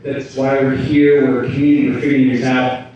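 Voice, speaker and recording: Animated man speaking in an echoey hall. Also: medium pitch, brisk, slight background noise. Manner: animated, bouncing between asides and big ideas. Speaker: conference speaker. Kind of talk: energetic conference talk. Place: conference hall. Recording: echoey hall from far off, dull sound.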